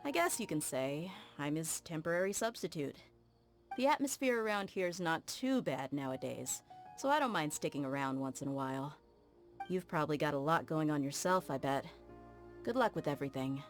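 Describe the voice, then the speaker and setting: A woman's voice: deep voice, expressive, moderate pace, faint music behind. A woman voicing a friendly, easygoing guard in a video game, casual and breezy in manner. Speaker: game character voice actor. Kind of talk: voiced game dialogue.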